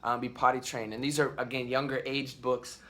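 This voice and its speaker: A man in his thirties talks straight to camera as the host of a family vlog. His voice is bright and forward at a middling pitch, and he rambles loosely, with frequent ums and restarts. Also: flat delivery, fairly quick. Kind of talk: casual talk to camera. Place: at home.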